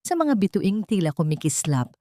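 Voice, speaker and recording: Low-voiced woman narrating a dramatic story. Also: sing-song, normal speed, dark tone, dry recording, studio quality. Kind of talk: scripted dramatic narration of a sentimental audio story.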